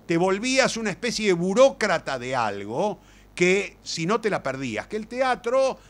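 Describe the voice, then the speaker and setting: Older male lecturer, Argentine Rioplatense accent. Fairly high voice, very lively intonation, colloquial and emphatic. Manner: animated, colloquial storyteller with emphatic repetition. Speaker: playwright and lecturer. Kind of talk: informal conference lecture.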